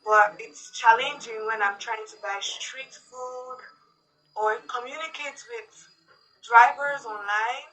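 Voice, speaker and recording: A woman's voice: on the high side, fairly even intonation, takes it slowly, sharp tone, poor audio. Young woman, upbeat interview answers. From Nigeria. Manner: earnest, explains with everyday examples.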